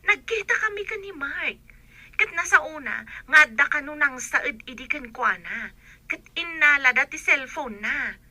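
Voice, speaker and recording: A chatty young village woman, speaks with an Ilocano accent in a high, sharp voice. She is exclamatory and pushy, nagging like a loyal friend in a radio comedy-drama. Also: lively intonation, unhurried, no echo, heavily acted. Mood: gossipy, earnest.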